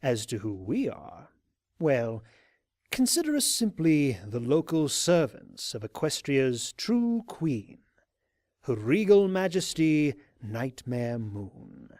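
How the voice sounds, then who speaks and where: A man playing a coldly polite, sinister pony cult leader in an audio drama, speaking in a measured, formal and menacing way. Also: medium pitch, big pitch swings, darkish tone, no echo, studio quality. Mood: smugly menacing. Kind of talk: fan audio drama acting.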